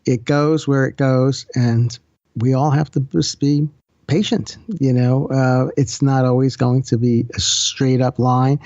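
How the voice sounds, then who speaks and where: A man's voice: mid-range voice, level tone, unhurried, a little mellow, no room sound, highs cut off. A man co-hosts a podcast in an easygoing, agreeable way. He is slightly under the weather, and his voice sits in a middle range. The recording is dry and close. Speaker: podcast co-host. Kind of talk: casual chat.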